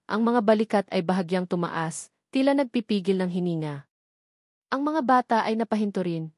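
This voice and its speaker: A woman's voice: mid-range voice, darkish tone, dry recording. A woman with a Filipino accent reading a dramatic scripted story as an audiobook narrator, in a dry recording.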